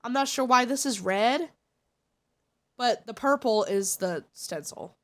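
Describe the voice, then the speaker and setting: A woman's voice: on the high side, measured pace. A congested woman streamer chatting casually and giving blunt, opinionated takes. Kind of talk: casual live-stream chat.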